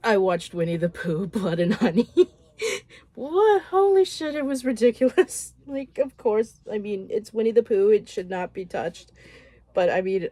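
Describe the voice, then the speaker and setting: A woman with a voice roughened by a cold, chatting frankly and loosely as she runs through a list of films. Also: mid-range voice, expressive, unhurried, dark tone, no room sound. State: hoarse from a cold. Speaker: horror film vlogger. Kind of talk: casual movie recap.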